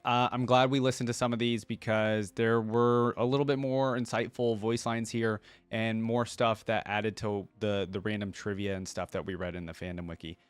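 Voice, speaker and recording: Guy with a lowish voice doing a rambly, enthusiastic fan chat for his channel, really dry close sound. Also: no echo. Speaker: reaction video host. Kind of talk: casual chat to viewers.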